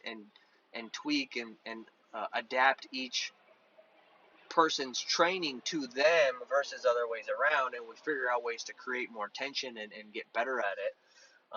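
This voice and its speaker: A man's voice: mid-range voice, pushed and bright, dull audio, slight background noise. A man who hosts a podcast as a strength coach, explaining training in a casual, conversational way, often restarting his sentences. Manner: conversational, many restarts, hand-waving examples.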